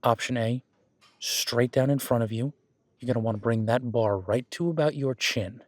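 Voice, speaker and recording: A man's voice: mid-range voice, moderate pace, dry recording, lightly acted. A man playing a friendly, patient werewolf boyfriend who coaches a workout in a casual, instructive audio roleplay. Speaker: gym training coach in roleplay.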